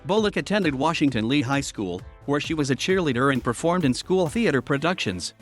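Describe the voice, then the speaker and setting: A man reading a scripted biography narration in an even, flat manner. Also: mid-range voice, brisk. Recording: faint music underneath.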